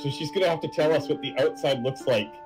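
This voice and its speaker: A man's voice: a little high, fast, darkish tone, faint music behind, low-quality recording. A man chatting casually as co-host of a live game stream. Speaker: livestream co-host. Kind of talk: casual chat over a game.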